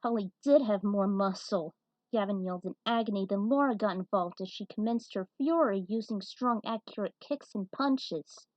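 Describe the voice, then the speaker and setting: A woman's voice: mid-range voice, moderate pace, darkish tone, no echo. A woman narrating fan fiction in an amateur, dramatic story-reading style. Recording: dull, muffled lo-fi audio.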